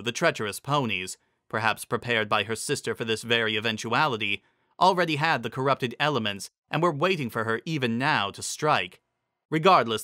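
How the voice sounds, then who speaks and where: A man narrates a fantasy story as a dramatic reading. His pitch is mid-range and very lively, and the tone is bright and forceful. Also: dry recording, studio quality.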